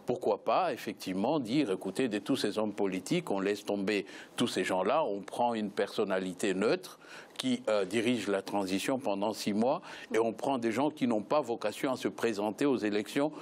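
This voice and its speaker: A man's voice: lowish voice, moderate pace, a little mellow. A man giving political analysis as a panel guest, often repeating words as he builds his argument. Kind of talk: political analysis in spoken debate.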